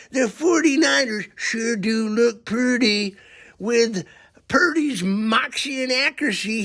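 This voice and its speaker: Someone doing a crude rambly sports fan leaving a joke voicemail, gravelly n slow, loves bad puns. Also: medium pitch, a bit bright, full character voice. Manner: rambling, slow, pun-happy comic delivery. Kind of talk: comic voicemail sports commentary.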